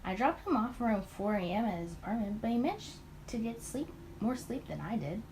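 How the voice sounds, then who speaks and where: Tired, awkward detective narrator for a visual novel , read by a woman, animated intonation. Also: fairly high pitch, fairly quick, a little hiss or hum, rough recording. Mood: weary, awkward.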